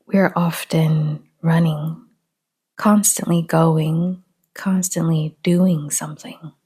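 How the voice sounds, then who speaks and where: A woman guiding a relaxation meditation in a soft, half-whispered, breathy voice, calm and gentle, with long pauses. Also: deep voice. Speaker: meditation guide.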